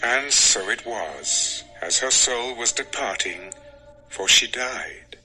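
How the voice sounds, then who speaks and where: A man narrates scripture in a dramatized audio style. His mid-range voice moves expressively up and down while staying measured. Music runs clearly beneath the narration. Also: medium pitch, lively intonation, bright, forceful tone, rough recording.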